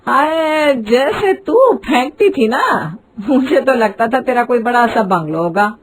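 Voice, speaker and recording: Woman voicing a haughty, showy mother-in-law, high-pitched. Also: animated, slow pace, slightly rough, full character voice. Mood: boastful, mocking. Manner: haughty, dramatic, wheedling. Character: showy, boastful middle-aged Indian mother-in-law. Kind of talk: Hindi cartoon moral story voice.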